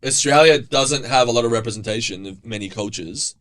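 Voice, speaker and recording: A man's voice: mid-range voice, brisk, fairly bright tone. A man hosting a podcast, asking curious, probing questions in a casual chat and laughing easily. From Argentina. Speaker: podcast host.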